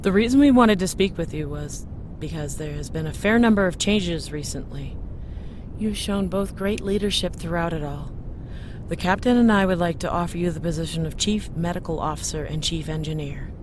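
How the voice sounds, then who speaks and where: Blunt, low-pitched female commander, acted by a woman. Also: moderate pace, noisy background, natural voice. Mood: formal. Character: blunt, businesslike female starship second-in-command. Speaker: voice actor. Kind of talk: audio drama dialogue.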